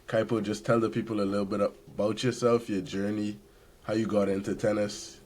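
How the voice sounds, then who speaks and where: Laid-back host with a lowish voice. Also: male voice, little pitch movement, moderate pace, a little mellow. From Caribbean. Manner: friendly, laid-back slang. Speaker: podcast host. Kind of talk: casual interview chat.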